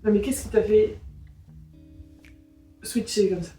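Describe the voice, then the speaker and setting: A woman's voice: mid-range voice, takes it slowly, mellow, reverberant, distant mic, soft background music. A woman hosting an interview, asking frank, teasing questions and laughing often. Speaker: host, interviewer. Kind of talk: casual interview.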